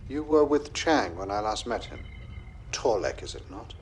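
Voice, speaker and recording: A man voicing an authoritative Klingon statesman and commander in a video game, speaking in a formal, measured way. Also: fairly low pitch, big pitch swings, slight background noise. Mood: measured, probing. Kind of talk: video game cutscene acting.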